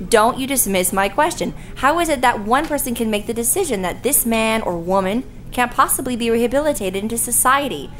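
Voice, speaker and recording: A woman's voice: on the high side, expressive, a little fast, few pauses, a bit bright, a little hiss or hum. A woman playing a passionate, principled young girlfriend in an audio drama. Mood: indignant.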